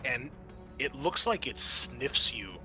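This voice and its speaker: A man's voice: mid-range voice, expressive, slow pace, pushed and bright, soft background music. A man narrating a tabletop horror game as game master, moving between vivid storytelling and casual rules talk.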